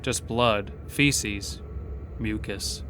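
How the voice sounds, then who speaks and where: A man's voice: fairly low pitch, drawn-out words, background noise. A man narrating a scripted horror story as the narrator of an audio drama.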